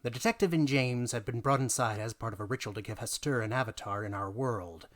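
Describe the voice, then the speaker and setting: Male narrator for a dramatic story reading, mid-pitched voice, theatrical, hushed asides into punched lines. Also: brisk. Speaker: reader of a tabletop gaming tale.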